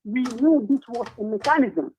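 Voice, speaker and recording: A man, speaking as a panel guest in a political discussion, earnest and deliberate with frequent hesitations. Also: very high-pitched, a little mellow, slight background noise. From Gambia. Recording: tinny phone line.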